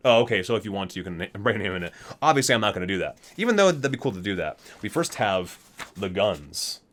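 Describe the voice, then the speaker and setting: Man with lively pitch doing ASMR unboxing. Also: quick pace. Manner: rambling, self-correcting, marvels at details. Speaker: video host, gaming fan. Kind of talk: ASMR unboxing with casual commentary and reading aloud.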